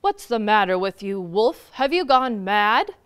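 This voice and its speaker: Big angry storybook bear played by a woman, growling, loud, menacing, animated. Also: mid-range voice, measured pace, smooth, voice pushed down. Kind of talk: children's storytelling.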